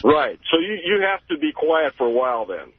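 A man's voice: fairly high pitch, big pitch swings, husky, dry recording. A man calling in to a radio show, eager and rambling as he thanks the host. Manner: eager, rambling, talks fast. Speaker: caller. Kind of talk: call-in radio talk. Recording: thin telephone line on air.

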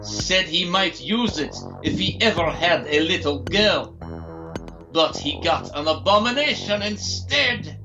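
An actor playing a sinister, taunting villain doctor in an audio drama, cunning and grandiose, with theatrical delivery. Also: male voice, high-pitched, lively intonation, highs cut off. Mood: mocking. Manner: theatrical, slow, mocking. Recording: loud music under the voice.

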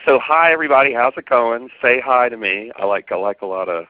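A man's voice: medium pitch, expressive, fairly quick, no room sound. A middle-aged man with a Southern accent presents a webinar in a chatty, friendly way, with many fillers. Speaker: presenter, accountant and trainer. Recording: tinny phone line.

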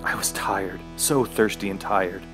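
A man's voice: low voice, unhurried, poor audio. A man playing a desperate, dying man trapped in the woods, narrating his ordeal intensely in a horror radio play. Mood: weary, resigned. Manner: intense, swinging from weary musing to yelling. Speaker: lead actor and first-person narrator. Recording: music behind the voice.

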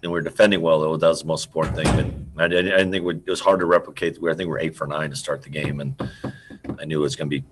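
A middle-aged man, a basketball coach, answering press questions over a video call, halting and trailing off quietly as he restarts sentences. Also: extremely low pitch, fairly even intonation, background noise. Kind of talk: postgame press conference answers. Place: indoor room in front of a team backdrop. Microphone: video call computer mic.

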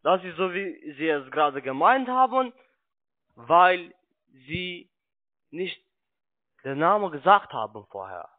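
High-voiced salesman on a tinny phone. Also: big pitch swings. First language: not German. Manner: halting, insistent, broken grammar. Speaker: call centre sales agent. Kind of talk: pushy unscripted phone sales pitch. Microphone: telephone. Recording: tinny narrowband phone line.

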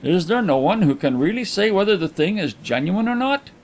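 A man's voice: sing-song, a little fast, slight background noise. A man voicing a fussy, anxious middle-aged male private detective who speaks precisely and insistently. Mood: urgent. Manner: fretful, insistent, raised high.

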